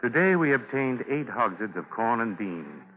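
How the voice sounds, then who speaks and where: A man's voice: medium pitch, sing-song, normal speed, soft background music. A man narrating a 1940s radio drama from a script, formal and solemn. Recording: noisy, tinny 1940 radio broadcast.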